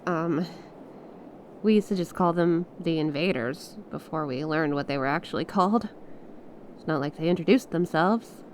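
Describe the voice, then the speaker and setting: Woman acting a slow-paced, earnest stranded star pilot. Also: fairly low pitch, a little hiss or hum, natural voice. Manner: expressive, halting, conversational, with small laughs and breathy exclamations. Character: stranded young female star scout pilot, awestruck and earnest. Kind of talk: ASMR audio roleplay, monologue to a listener.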